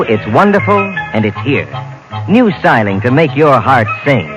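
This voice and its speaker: An American man announces a vintage radio commercial. His delivery is punchy and swings widely in pitch, with music clearly playing behind him. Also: dull audio. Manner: punchy, emphatic. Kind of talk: 1950s radio commercial read.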